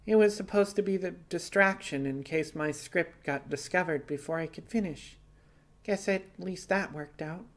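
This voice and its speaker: Guy doing a young bunny girl runaway, high voice, unsure of herself, hesitant, scifi audio story. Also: moderate pace. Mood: sheepish. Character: young female rabbit runaway, nervous and unsure.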